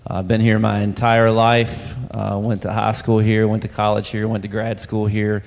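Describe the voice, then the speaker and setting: A man's voice: on the low side, little pitch movement, moderate pace, gravelly. A man from Virginia's Shenandoah Valley, a basketball coach, speaking plainly on a church panel. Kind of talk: personal testimony. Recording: hall reverb.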